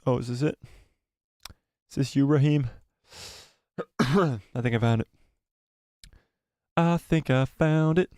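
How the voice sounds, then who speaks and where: Man, hoarse, casual stream host, rambling, repeats himself, mid pitch, dry close sound. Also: takes it slowly, pauses often, a little mellow, no room sound. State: losing his voice, coughing. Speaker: livestream host. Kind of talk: casual live-stream chat reading viewer comments.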